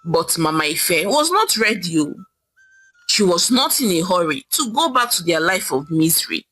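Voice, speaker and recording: Bright, animated Nigerian woman storyteller. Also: mid-range voice, low-quality recording. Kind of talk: expressive oral folktale narration. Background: soft music.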